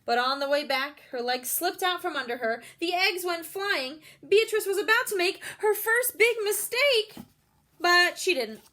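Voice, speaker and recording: A woman's voice: very high-pitched, measured pace. A woman reading a picture book aloud to children, expressively, with little asides and questions to the young listeners. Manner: expressive, explains feelings and puts questions to children.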